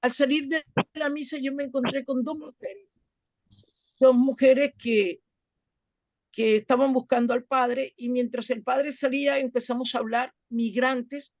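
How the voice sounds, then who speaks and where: A woman from Latin America telling a personal story to a group in an earnest, halting way, repeating words as she goes. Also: high-pitched, fairly even intonation, no room sound, dull audio. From Latin America, outside Chile. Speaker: club volunteer and workshop participant.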